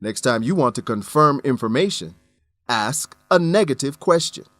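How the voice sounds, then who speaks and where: A man reading scripted English-lesson narration as a teacher, deliberate, instructional and carefully enunciated. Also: medium pitch, animated, a bit bright, no room sound.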